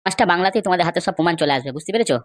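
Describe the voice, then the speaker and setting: A woman's voice: lowish voice, expressive, racing, dry recording, studio quality, close to an everyday voice. A woman playing an aggrieved, scheming male villager in a comedy cartoon, complaining in an emphatic, gossipy way. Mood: scheming, resentful. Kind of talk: amateur comedy cartoon dialogue.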